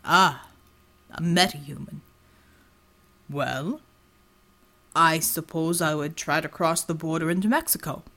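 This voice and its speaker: A woman playing a strong male field chief, calm, dry wit, measured delivery, audiobook fiction. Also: deep voice, pitched below the performer's own voice, heavily acted. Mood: thoughtful.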